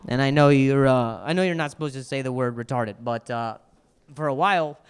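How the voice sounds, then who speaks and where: A man's voice: mid-range voice, fairly quick. A man in his thirties with a stutter, a stand-up comedian talking to the crowd and narrating his video. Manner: animated, exasperated, escalating outbursts.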